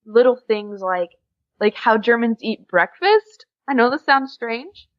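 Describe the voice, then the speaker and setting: A young American woman chatting casually as a podcast guest, telling stories in a lively, conversational way. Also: on the high side, unhurried. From USA. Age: young adult. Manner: chatty, animated storytelling with quoted dialogue and frequent giggles. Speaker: podcast guest, exchange student. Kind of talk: casual interview chat. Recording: slightly dull, lo-fi audio.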